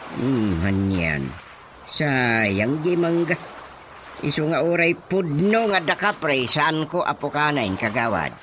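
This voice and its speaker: A man acts out an excitable, stubborn village chairman for an Ilocano radio comedy. His Filipino-accented voice sits fairly high and leaps around in drawn-out exclamations, over a clearly noisy backdrop. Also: heavily acted. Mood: wistful, musing.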